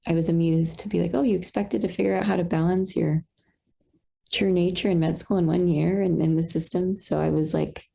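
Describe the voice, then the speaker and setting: Young woman, low and gravelly, dark husky tone, halting reflective talk over a video call. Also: steady tone. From Colombian roots. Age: young adult. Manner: reflective, halting, many fillers. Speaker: satsang participant. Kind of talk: casual sharing on a video call.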